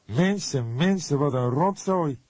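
A chatty, weary police photographer from a crime audiobook, acted by a man reading aloud. The voice sits well above the reader's own, loud and exclaiming. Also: fairly high pitch, expressive, slow pace, husky, no room sound, voice pushed up, full character voice. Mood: sighing dismay.

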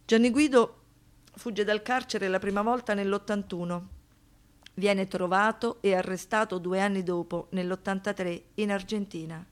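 A woman narrating a radio play in a measured, deliberate, scripted documentary manner. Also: medium pitch.

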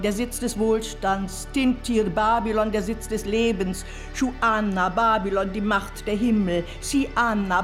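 An actress playing a solemn reader of an ancient hymn in a radio play, measured, formal and incantatory. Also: female voice, fairly high pitch, lightly acted. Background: music.

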